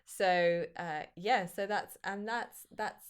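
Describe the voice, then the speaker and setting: A woman's voice: medium pitch, slow pace, smooth. A woman talking casually about her comic on a live stream, chatty and self-deprecating with frequent restarts. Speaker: comic writer co-host.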